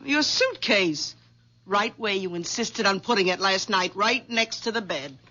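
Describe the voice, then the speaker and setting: An actress playing a domineering, scolding middle-aged wife with a bossy, nagging manner in a 1970s radio drama. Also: female voice, mid-range voice, animated, a little slow, sharp tone. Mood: brisk, irritated. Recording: dull 1970s radio recording.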